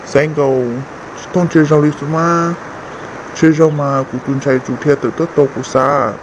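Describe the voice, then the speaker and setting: A man acts out a male character in a cartoon family drama, with a fairly high voice. The dialogue sounds dull and lo-fi, with faint noise behind it. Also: fairly even intonation, moderate pace, natural voice. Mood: conversational.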